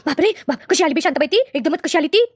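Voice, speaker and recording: A woman's voice: sits high, lively intonation, racing, no room sound. A woman voicing a fretful, complaining village woman in a cartoon comedy. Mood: uneasy.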